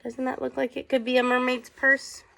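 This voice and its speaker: A woman's voice: high voice, level tone, moderate pace, creaky, fairly bright tone. A woman teacher reading aloud to children, with chatty asides and questions for her young listeners.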